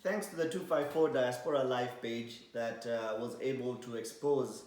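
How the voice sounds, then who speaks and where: A man's voice: medium pitch, normal speed, a little mellow. A man in his thirties from Kenya with a Kenyan accent, a DJ co-hosting a casual podcast, talking in a hesitant, easygoing way. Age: 30s. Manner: hesitant, many fillers, easygoing. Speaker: podcast co-host, DJ. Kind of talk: casual chat mixing in Swahili. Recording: very echoey room.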